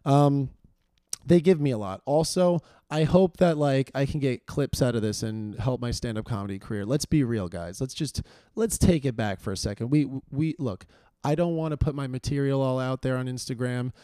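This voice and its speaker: A hoarse man hosts his podcast, rambling and self-deprecating with lots of fillers. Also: mid-range voice, normal speed, mellow, dry recording, clean studio sound. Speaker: podcast host. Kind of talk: casual solo intro monologue.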